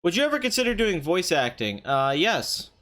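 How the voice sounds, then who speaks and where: Hoarse, slightly nasal young man chatting. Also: sing-song, normal speed, a bit bright. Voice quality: somewhat high, nasal voice. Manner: chatty, rambling, often clears throat, reads chat aloud. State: strained, hoarse voice. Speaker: live stream host. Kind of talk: casual live stream chat.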